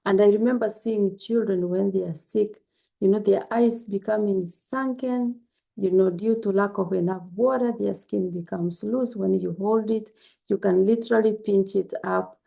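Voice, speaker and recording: A woman's voice: medium pitch, fairly even intonation, moderate pace, gravelly. A woman from Kenya, giving earnest, repetitive health advice in a casual vlog. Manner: earnest, repetitive, gently instructive. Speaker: health vlogger.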